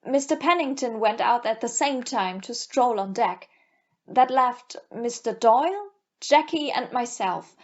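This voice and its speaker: A woman's voice: a little high, lively intonation, a little slow. A woman playing a nervous, defensive young lady in an audio play, hesitant when questioned, on a muffled recording. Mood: composed. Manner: hesitant, faltering under questioning.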